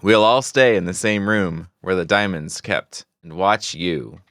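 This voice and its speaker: A man reading a reassuring male police chief in a steady, confident tone. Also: deep voice, unhurried, no echo, studio quality.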